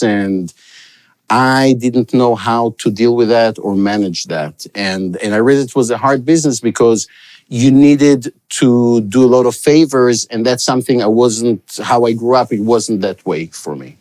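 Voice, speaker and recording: A man's voice: mid-range voice, a little mellow. A middle-aged man from Israel, raised in Africa, talking about his career as a guest in an interview, reflective and rambling, with frequent restarts. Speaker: guest, sales expert. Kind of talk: storytelling interview answers.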